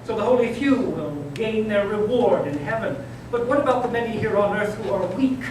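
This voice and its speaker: An old, bitter inquisitor cardinal, acted by a man in staged readers theater. His high voice declaims imperiously, swelling from hush to force. It carries from a distance through a very echoey, noisy hall. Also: fairly quick, natural voice. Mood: fervent, bitter.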